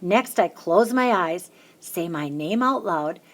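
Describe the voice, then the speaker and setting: A woman reading a children's picture book aloud, lifting her voice high and bright for the little chants. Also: lively intonation, slow pace. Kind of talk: storybook read-aloud.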